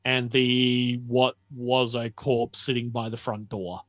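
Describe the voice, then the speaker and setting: Aussie guy game mastering, unhurried, halting, really dry close sound. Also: medium pitch, flat delivery, sharp tone, no room sound. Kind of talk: narrating a tabletop RPG, casual.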